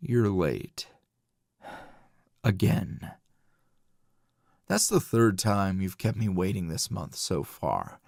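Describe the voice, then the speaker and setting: A man playing a weary, flirtatious male teacher in an intimate ASMR roleplay, with a languid, dry drawl full of sighs and pauses. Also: low voice, expressive, creaky, a little mellow, no room sound, exaggerated voice. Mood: weary, reproachful.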